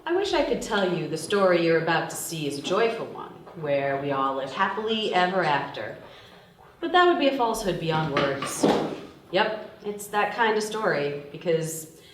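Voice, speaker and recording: Woman acting a lively, low-voiced young party-girl thief. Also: a little slow, a little hiss or hum. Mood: wry, confiding. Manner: wry, confessional storytelling. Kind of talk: dramatic voice-over at a table read. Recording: echoey room, distant microphone.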